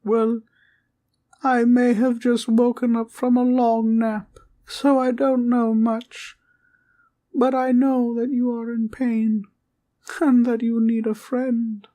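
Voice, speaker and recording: A woman playing a sweet, innocent talking teddy bear in a comfort roleplay, speaking in a gentle, quaint, reassuring way. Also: a little high, little pitch movement, slow. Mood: kindly concern. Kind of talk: roleplay comfort audio acting.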